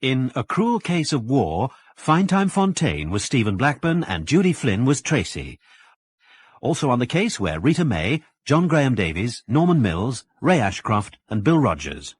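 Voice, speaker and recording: A male announcer reads drama credits in a scripted style. His voice is husky and mid-pitched, with lively intonation and a close, dry sound. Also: no room sound. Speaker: continuity announcer.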